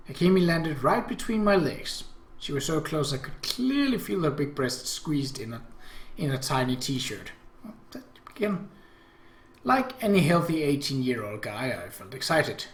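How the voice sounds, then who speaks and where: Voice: mid-range voice, reverberant. A game host giving casual, wry commentary and reading a visual novel story aloud, with hesitant asides. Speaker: let's play host.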